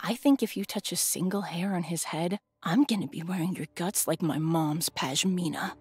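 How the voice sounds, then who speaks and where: A woman's voice: fairly low pitch, moderate pace, a little mellow, husky. A woman voicing a fierce captive fairy woman in an anime parody dub, menacing and cutting. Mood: cold threat.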